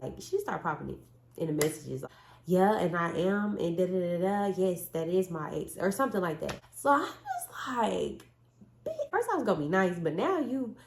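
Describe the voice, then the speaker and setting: A young woman with tells a story in a blunt, animated way, her voice hoarse from a cold and gravelly with fry. She sits a little low in pitch. The room around her is echoey. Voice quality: raspy. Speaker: vlogger. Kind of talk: casual storytime chat.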